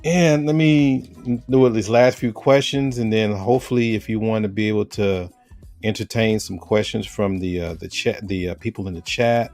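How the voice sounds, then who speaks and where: Brief: American man, very low voice, easygoing interviewer who wanders as he thinks, faint music behind. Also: normal speed. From United States. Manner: relaxed, rambling with fillers and restarts. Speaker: host. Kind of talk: casual interview chat.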